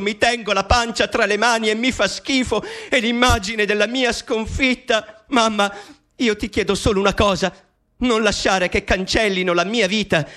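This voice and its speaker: A man's voice: high voice, sharp tone. An Italian actor in a radio play, playing a persecuted male mathematician who gives an intimate, bitter, pleading confession to his mother. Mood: despairing. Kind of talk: radio drama monologue.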